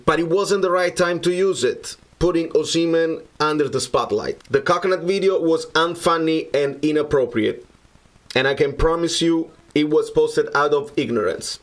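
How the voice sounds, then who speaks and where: A man from Naples with a Neapolitan Italian accent and Italian as his first language, reading an earnest, measured personal statement. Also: medium pitch. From Naples, Italy. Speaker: musician.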